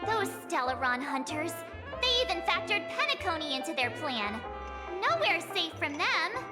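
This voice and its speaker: An actress playing an excitable, skeptical teenage girl adventurer in an anime-style game, expressive and exclamatory. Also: very high-pitched, moderate pace. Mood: indignant. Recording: loud music behind. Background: game music.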